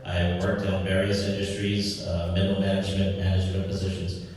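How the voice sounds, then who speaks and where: A somewhat low-voiced man from Guam speaks haltingly, dotting his candidate speech with fillers and self-deprecating asides. He is heard from a distance in a long-reverberating hall. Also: monotone, a little mellow. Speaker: senatorial candidate. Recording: echoey hall from far off.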